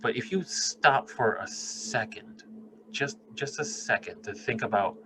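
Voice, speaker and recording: A man's voice: mid-range voice, expressive, unhurried, background noise. A man co-hosting a podcast, talking in a casual, earnest, reflective way and swearing freely. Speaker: podcast co-host, doctor. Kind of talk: casual conversation.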